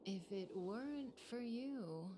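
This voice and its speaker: A woman playing a composed, calculating operative in a video game, speaking in a measured, poised way. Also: on the high side, animated, noisy background. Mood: calm, controlled.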